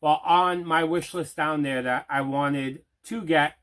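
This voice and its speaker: A man's voice: a little high, steady tone, measured pace, fairly bright tone. A man hosting a casual unboxing video, chatting enthusiastically and leaning on repeated words for emphasis. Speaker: host of a collecting unboxing video. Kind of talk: casual unboxing chat to camera.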